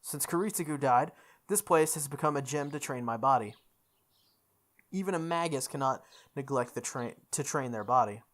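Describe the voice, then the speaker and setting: A woman playing through a visual novel, reading its text aloud with expressive, goofy casual commentary, sometimes correcting her stumbles. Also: extremely low pitch, moderate pace. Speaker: host of a visual novel playthrough.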